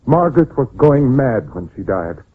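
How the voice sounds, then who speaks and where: Stern haunted widower, swooping melodramatic delivery, husky, tinny vintage radio recording. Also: male voice, medium pitch, very animated, normal speed. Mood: confiding, dread. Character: stern, secretive widower father, dreading and grief-struck. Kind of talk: old-time radio suspense acting.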